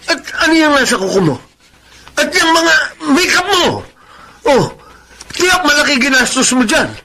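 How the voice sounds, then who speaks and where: An actor with a Filipino accent playing a weary, regretful schoolteacher husband in a melodramatic radio drama. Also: male voice, very high-pitched, lively intonation, normal speed, bright, forceful tone, exaggerated voice. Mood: angry, exasperated. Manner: melodramatic, earnest. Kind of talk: Filipino radio drama acting, letter-style narration and dialogue.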